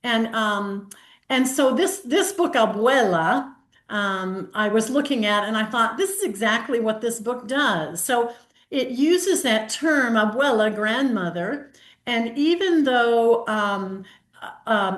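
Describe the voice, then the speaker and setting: Give me a woman leading a casual workshop over a video call who now and then reads from a picture book. She sounds a touch high and chatty. Her voice has a roomy echo to it. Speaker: workshop host. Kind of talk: casual group discussion on Zoom.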